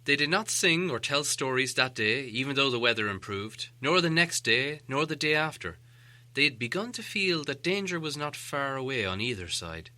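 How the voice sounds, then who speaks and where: A man narrating an audiobook tale in an expressive, scripted storytelling style. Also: medium pitch.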